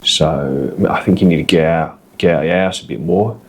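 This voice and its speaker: A man voicing a blunt, rough East London man with a Cockney accent, brusque and matey. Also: a bass voice, normal speed, a little hiss or hum.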